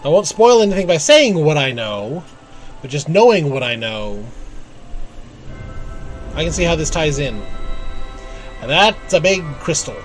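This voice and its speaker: A man with a fairly high, animated voice commentates casually as he plays a video game. He muses aloud to himself, with game music softly behind him. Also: unhurried, long pauses, poor audio. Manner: musing, talks to self while playing. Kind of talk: casual live gameplay commentary.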